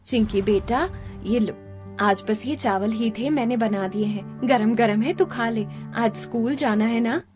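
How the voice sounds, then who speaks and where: A woman voicing a poor, hardworking, devoted mother in an animated story, earnest and pleading. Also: fairly high pitch, unhurried, over music, lightly acted. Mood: tender.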